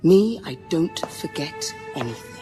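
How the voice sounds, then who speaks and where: Commanding woman, very expressive delivery. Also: deep voice, unhurried. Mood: imperious, contemptuous. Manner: commanding, sharp-tongued. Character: cold, ambitious businesswoman and exasperated, stern mother. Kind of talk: video game cutscene acting. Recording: music behind the voice.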